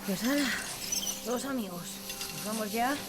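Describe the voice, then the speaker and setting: Fairly high, impatient, squeamish young woman. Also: animated, a little slow, heavy background noise. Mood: impatient, disgusted. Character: brisk, squeamish young female friend, impatient to leave. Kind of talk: audio drama acting. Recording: loud background sound effects.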